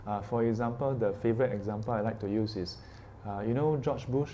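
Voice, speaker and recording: A man's voice: on the low side, brisk, mellow, lo-fi sound, a little hiss or hum. Chatty man teaching a class. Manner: chatty, asks the class questions, frequent "yeah" and "ah". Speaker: Buddhist teacher. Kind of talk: informal dharma class talk.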